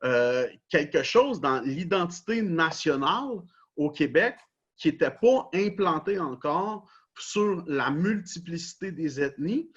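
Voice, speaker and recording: Husky Québécois man on a dull recording. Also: on the high side, expressive, takes it slowly. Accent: Québécois French. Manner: explanatory, repeats set phrases. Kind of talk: casual discussion.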